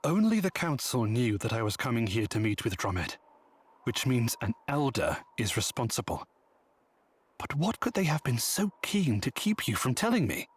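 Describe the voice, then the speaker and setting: An actor playing a dignified, resolute prince in a video game, speaking formally and politely. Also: male voice, medium pitch, big pitch swings, moderate pace, slightly rough. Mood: earnest. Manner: formal, stately. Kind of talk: video game cutscene acting. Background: loud background music.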